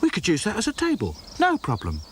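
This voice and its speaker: A man's voice: high-pitched, huge pitch swings, fast, a little hiss or hum, voice pushed up. A man as a cheeky boy rag doll.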